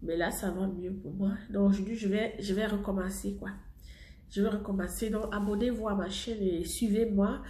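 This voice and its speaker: A woman's voice: mid-range voice, fairly even intonation, moderate pace, dark tone, echoey room. A woman with a head cold chatting to her subscribers as she presents a cosmetics product, repeating herself and laughing often. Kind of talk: casual product vlog to subscribers.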